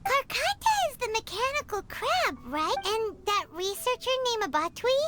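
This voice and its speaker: A woman voicing a small, excitable childlike fairy companion in a video game, with a squeaky voice and an eager manner. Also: normal speed, slight background noise, heavily acted. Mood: puzzled, inquisitive. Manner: refers to self in third person, eager, exclamatory.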